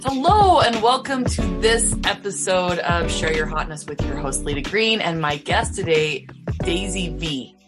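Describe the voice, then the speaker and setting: A woman's voice: fairly low pitch, sing-song, a little slow, over music. A woman hosting a podcast in a casual, chatty interview style. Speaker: podcast host.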